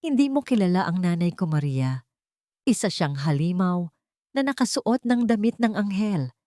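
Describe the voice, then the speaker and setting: A woman's voice: on the low side, big pitch swings, normal speed, warm tone, no room sound, clean studio sound. A woman with a Filipino accent voicing a frightened, bitter woman in hiding, pained and dramatic, in a story. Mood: fearful.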